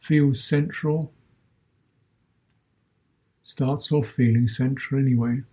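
A man teaching a guided meditation as a Buddhist teacher, speaking in short measured phrases with long pauses between them. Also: mid-range voice, steady tone, mellow, breathy edge.

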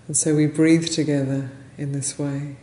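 A woman leading a guided meditation as a teacher, speaking gently and unhurriedly in short phrases with long pauses. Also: sits low, level tone. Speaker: meditation teacher, Buddhist nun. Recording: roomy echo, faint background noise.

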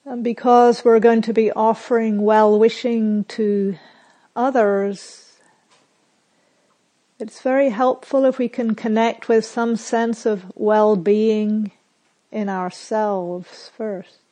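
A woman meditation teacher leading a guided meditation in a soothing, measured way, with pauses and phrases that end softly. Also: on the high side, fairly even intonation.

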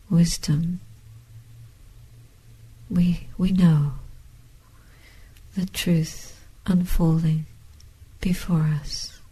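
Very husky, slow-spoken older woman. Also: on the low side, mellow, low-quality recording. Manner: measured, contemplative, short phrases with long pauses. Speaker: Buddhist nun and meditation teacher. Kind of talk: reflective dharma talk and guided meditation.

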